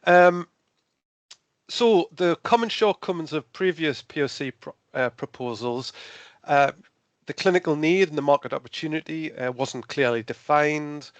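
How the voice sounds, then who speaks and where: A man with a cold, sounding a little hoarse, giving a hesitant, informal webinar presentation over a video call. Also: on the high side, lively intonation, a little slow, no echo, dull audio. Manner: hesitant, many fillers and restarts.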